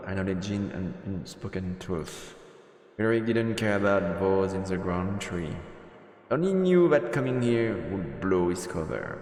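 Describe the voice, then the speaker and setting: A man's voice: lowish voice, a little mellow. A man streaming a game, reading a visual novel's story narration aloud. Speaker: let's play streamer. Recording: roomy reverberant sound, music behind.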